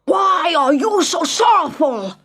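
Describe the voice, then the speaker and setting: A woman voicing a proud, angry prince who talks in a gruff, imperious manner for a children's story. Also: very high voice, animated, slow, a bit bright, shouting, pitched above the performer's own voice, heavily acted. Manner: roaring and mumbling imperiously.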